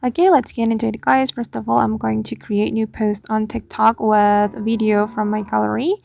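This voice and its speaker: High-voiced woman hosting a tutorial, creaky edge. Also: level tone, moderate pace, a little mellow. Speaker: tutorial host. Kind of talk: casual step-by-step screen tutorial narration.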